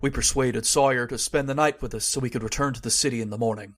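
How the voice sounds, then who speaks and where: A man's voice: medium pitch, fairly even intonation, fast, a little hiss or hum, lightly acted. A man acting as an uneasy heir who narrates a mystery in an old-time radio horror style. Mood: brooding.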